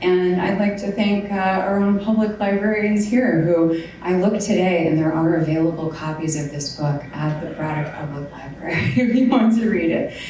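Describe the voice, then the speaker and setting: A woman's voice: lowish voice, normal speed, mellow. A woman, a state representative, giving an informal public speech at a dedication event. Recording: echoey, distant mic with faint noise.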